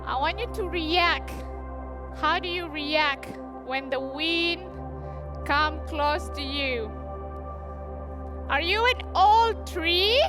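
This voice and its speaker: A woman's voice: high-pitched, takes it slowly, pauses often, over music. A woman leading a movement workshop, giving encouraging, playful prompts to participants.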